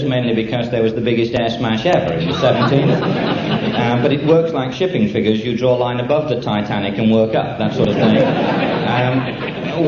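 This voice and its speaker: A British man giving a humorous after-dinner speech in a deadpan manner, with dry understatement. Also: mid-range voice. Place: function room with an audience. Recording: echoey, dull, very noisy live room recording. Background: audience laughter, loud noise.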